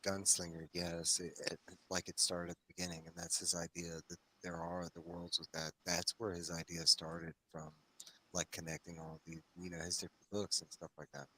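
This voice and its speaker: A man's voice: low voice, little pitch movement, normal speed, pushed and bright, low-quality recording. A man talking casually on a discussion show, enthusiastic and meandering, often circling back on his phrases as he explains. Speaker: host of a comics read-along discussion show.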